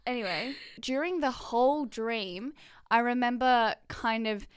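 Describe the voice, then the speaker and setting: Woman, podcast co-host, higher voice, dry sound, animated, laughs often. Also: clean tone, no room sound. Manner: animated, quick laughs, rambling restarts. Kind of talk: casual chat.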